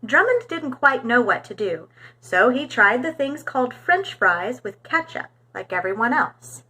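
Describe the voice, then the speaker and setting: Woman, children's storytime narrator, highish pitch, very lively intonation, expressive reading. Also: measured pace. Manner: animated, clear storytelling for young children.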